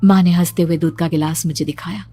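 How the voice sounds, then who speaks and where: A young woman narrating a horror cartoon story in the first person, hushed and suspenseful. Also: lowish voice, fairly even intonation, fast, dry recording, soft background music. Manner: hushed, suspenseful, rising to frightened.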